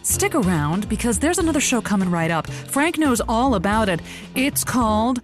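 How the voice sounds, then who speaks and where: An actress playing a classy, haughty female radio advice host in an audio comedy, with florid, mannered speech and a sharp tongue. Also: fairly high pitch, very animated, normal speed, over music. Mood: breezy sign-off. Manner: florid, mannered, affectionate pet names. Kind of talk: scripted audio comedy, call-in advice show.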